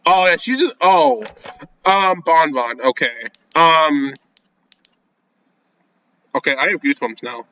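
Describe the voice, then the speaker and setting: A man's voice: on the high side, expressive, takes it slowly, long pauses, bright, forceful tone. A young man playing a game on video, reading its text aloud and reacting in casual, jumpy slang as he talks to himself. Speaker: gaming video creator.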